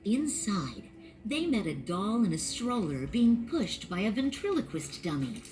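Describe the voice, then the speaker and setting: A woman narrating a children's read-along storybook in a clear, expressive storytelling manner. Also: medium pitch, a little slow, faint music behind.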